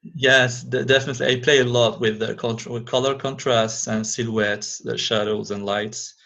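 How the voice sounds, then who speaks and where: A man from Algeria, a guest in a casual interview over a remote call, speaking English haltingly and searching for words. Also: mid-range voice, steady tone, vocal fry, pushed and bright.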